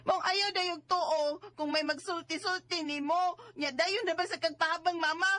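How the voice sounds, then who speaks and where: A woman's voice: very high-pitched, fairly even intonation, normal speed, pushed and bright. A woman playing a defiant, sulky sixteen-year-old girl who whines and talks back in a radio drama, with a Cebuano Filipino accent. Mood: defiant, annoyed. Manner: whiny, talking back. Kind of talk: Bisaya radio drama acting.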